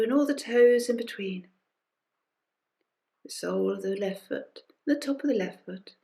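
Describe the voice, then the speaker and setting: A woman guides a body scan meditation at an unhurried, gentle pace. Her medium-pitched voice comes in short phrases with restful pauses, as a teacher softly inviting listeners to follow along. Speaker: meditation teacher leading a group session. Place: recorded during an online session.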